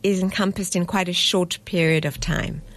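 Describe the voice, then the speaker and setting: A woman from South Africa with a lowish voice talks as a guest in a radio studio. She explains things patiently and earnestly and often pauses mid-thought. Her tone is steady and conversational. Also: a little hiss or hum. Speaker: festival director.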